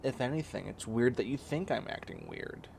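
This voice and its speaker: A man's voice: mid-range voice, huge pitch swings, normal speed, vocal fry, a little mellow, slight background noise, exaggerated voice. A man playing a bossy, clingy young catboy in a comedic roleplay audio drama, whining and demanding in a needy way. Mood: content, defensive.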